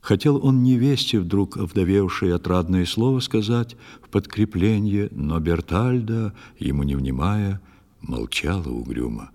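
A man narrating a literary fairy tale in verse, reciting it in a solemn, measured and expressive way. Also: low voice. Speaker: storyteller narrator.

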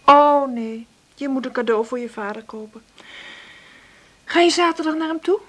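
An actress in a radio drama playing a proud, nervous, indignant mother living on welfare, with a halting, agitated delivery. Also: sits high, a bit bright. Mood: strained. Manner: halting, agitated, repeats words. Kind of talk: naturalistic Dutch radio drama acting.

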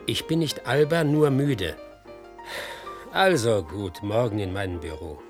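An actor in a German radio play, playing a jaded, hard-drinking, ill-humoured middle-aged private detective with a flippant, sardonic manner. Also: male voice, fairly low pitch, a little slow, close to an everyday voice. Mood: weary, flirtatious. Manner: sardonic, flippant, rude wisecracking. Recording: studio radio play with music.

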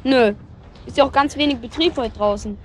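A woman's voice: sits high, brisk, a little hiss or hum, lightly acted. A woman in a German radio drama playing a helpful, casual, slightly cheeky youngster who talks matter-of-factly. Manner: casual, matter-of-fact, colloquial.

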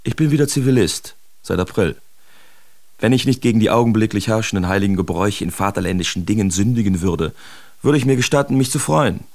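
Gravelly, sardonic bohemian letter writer. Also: male voice, lowish voice, lively intonation, no room sound. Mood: sardonic relief. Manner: theatrical, deliberate, ornate diction with pointed emphasis. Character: sardonic, bohemian male letter writer, wry and self-absorbed. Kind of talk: literary radio drama, dramatic reading of letters.

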